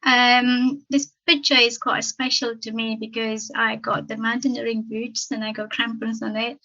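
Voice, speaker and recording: A woman's voice: on the high side, level tone, pushed and bright. A woman in her fifties from Malaysia with a Malaysian accent, whose first language is Tamil, giving a personal conference talk with many ums and stuttering blocks. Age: 50s. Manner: frequent ums, slow careful phrasing with stuttering blocks. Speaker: conference speaker. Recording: dull lo-fi sound.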